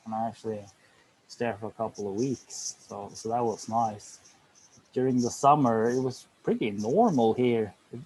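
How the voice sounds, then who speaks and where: A man's voice: mid-range voice, dark tone, rough recording. A man chatting casually and a little hesitantly about his holidays. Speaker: group participant. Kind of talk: casual chat.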